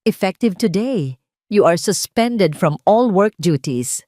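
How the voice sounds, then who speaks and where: A woman's voice: mid-range voice, expressive, mellow, no echo, studio quality. A woman reading as a formal, stern male executive. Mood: stern, official. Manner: formal, measured, authoritative. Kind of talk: audio drama dialogue.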